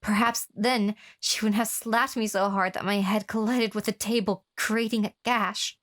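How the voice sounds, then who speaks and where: A woman's voice: mid-range voice, fairly even intonation, normal speed, a bit bright, close to an everyday voice. Horror audio drama: actress as captive, wounded female victim of an obsessive lover, mid-range voice, telling her own story. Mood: somber, reflective. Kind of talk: first-person horror radio drama narration with acted dialogue.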